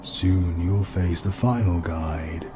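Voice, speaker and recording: A British man playing an ancient fatherly spirit of light in a deep, husky voice, grave and portentous. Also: fairly even intonation, takes it slowly, music in the background. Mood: solemn, resigned.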